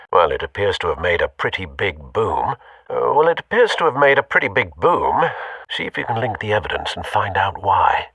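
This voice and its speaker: A refined older British butler from a video game, low and slightly rough. He is formal and loyal, with bright, lively delivery and dry understatement. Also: no echo, distant mic, exaggerated voice.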